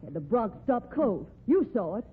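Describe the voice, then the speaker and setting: An actress with an American accent playing a sharp-tongued, scheming cowboy's wife in old radio drama, with combative, slangy folksy speech. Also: female voice, on the high side, very animated, normal speed, slightly rough, full character voice. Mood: defiant. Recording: tinny, narrow-band old radio recording.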